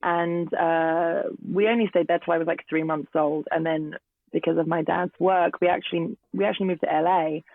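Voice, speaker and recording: Guest actor, English woman, late 30s, hoarse and gravelly, hesitant; dry, tinny remote call audio. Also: fairly low pitch, fairly even intonation, moderate pace, dry recording. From England. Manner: hesitant, many ums and restarts. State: hoarse from illness. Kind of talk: casual interview chat. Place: garden shed at home. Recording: tinny phone-like remote line.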